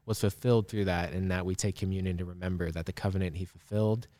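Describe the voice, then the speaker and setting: A man in his twenties co-hosting a podcast, talking in a relaxed, rambling way with lots of fillers. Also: low voice, fast, no room sound. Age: 20s. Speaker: podcast co-host. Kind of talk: casual chat.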